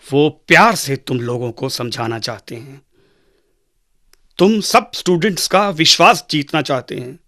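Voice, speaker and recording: An impassioned father in a radio drama, played by a man. His high voice is tense and forceful. He rebukes indignantly and emphatically, repeating phrases for weight. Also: heavily acted. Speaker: actor.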